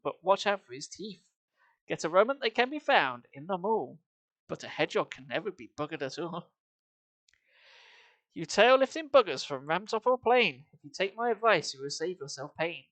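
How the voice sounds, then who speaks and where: A streamer with a mid-pitched voice reads comic verse in an amused singsong, swinging widely up and down. The sound is close and dry, and the delivery is informal, with chatty asides. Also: a bit bright, dry recording.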